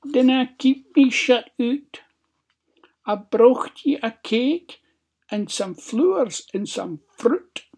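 A storybook wolf pretending to be a wee Scottish girl, as a storyteller puts him on. The voice is squeaky, pitched far up and falsely innocent. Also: animated, slow pace, a little mellow, slightly rough, full character voice. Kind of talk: storybook character voice.

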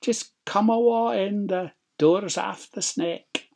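A storybook wolf pretending to be an old Scottish granny, acted out by a storyteller. The voice is a high falsetto, sugary and beckoning, with sly intent underneath. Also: takes it slowly, vocal fry, darkish tone. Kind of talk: storybook character voice.

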